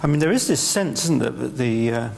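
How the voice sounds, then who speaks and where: A man's voice: medium pitch, animated, gravelly, a little hiss or hum. A man interviewing a guest in an on-stage public conversation, speaking hesitantly with many restarts. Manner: hesitant, halting. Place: large auditorium stage.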